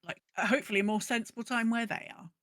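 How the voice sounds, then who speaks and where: A woman's voice: on the high side, steady tone, racing, fairly bright tone, no room sound, studio quality. A woman co-hosting a podcast, casually chatting and reading out updates. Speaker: podcast co-host.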